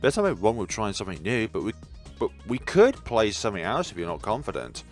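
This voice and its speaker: A man's voice: fairly low pitch, sing-song, a little fast, faint music behind. A man voicing a polite, teasing young male gondolier and tour guide in a visual novel.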